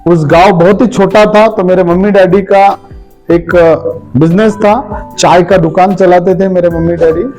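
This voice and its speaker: Man, high voice, motivational seminar speaker, repetitive emphatic phrasing, questions to listeners, music underneath. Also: steady tone, unhurried, a little mellow, poor audio. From Maharashtra, India. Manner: interactive, asks the audience questions, repeats phrases for emphasis. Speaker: motivational speaker for direct selling. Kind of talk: motivational seminar speech with personal anecdotes.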